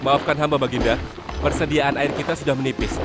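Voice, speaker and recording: A man's voice: mid-range voice, steady tone, fairly quick, lightly acted. A man playing a devout, dutiful attendant to a king in a children's cartoon. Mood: dutiful, reverent. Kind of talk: Indonesian children's cartoon dubbing. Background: loud music.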